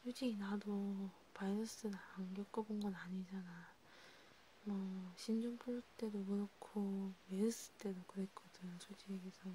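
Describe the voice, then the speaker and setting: A woman playing a sympathetic vampire counselor in a whispered ASMR role play, breathy and intimate, talking casually and haltingly. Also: mid-range voice, fairly even intonation, mellow, slight background noise, close to an everyday voice, rough recording. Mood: soft, reflective. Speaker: ASMR creator.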